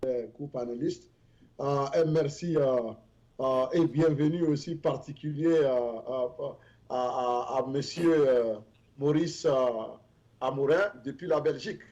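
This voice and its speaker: Call-in panelist, male, warm dark tone, stumbling delivery, lo-fi line. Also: medium pitch. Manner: halting, constant fillers. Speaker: panelist guest. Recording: dull distant call audio.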